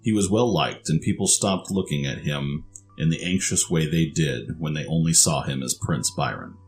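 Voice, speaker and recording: A man's voice: rumbling low, moderate pace, soft background music. A man narrating a scripted story for an audio drama.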